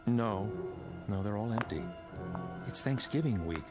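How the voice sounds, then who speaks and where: An actor playing a menacing, courteous killer in a radio drama, unhurried and falsely polite as he toys with his victim. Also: lowish voice, animated, over music. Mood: taunting, sinister.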